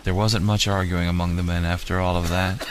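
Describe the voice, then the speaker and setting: Low, even-toned somber male storyteller. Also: fairly quick. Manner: somber, melodramatic. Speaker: audiobook narrator. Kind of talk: scripted first-person dramatic story narration. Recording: amateur home recording, faint noise.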